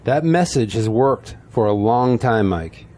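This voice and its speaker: Actor as a measured veteran politician. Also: male voice, mid-range voice, animated, dark tone. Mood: skeptical. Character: seasoned, courteous, skeptical veteran city politician. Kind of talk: audio drama acting.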